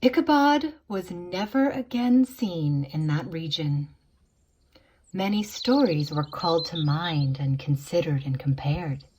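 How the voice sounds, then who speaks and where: A woman narrating a spooky tale in a theatrical, suspenseful way, with deliberate dramatic pauses, like a storybook reader. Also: low voice, a little mellow, slightly rough.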